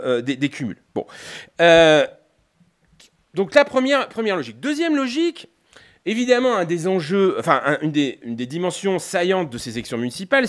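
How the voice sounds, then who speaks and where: A man giving an analytical, semi-improvised academic lecture, often hesitating, breaking off and rephrasing as he explains. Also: a little high. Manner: hesitant, repeatedly breaking off and rephrasing, punctuated with rhetorical tags. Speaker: political scientist, university lecturer.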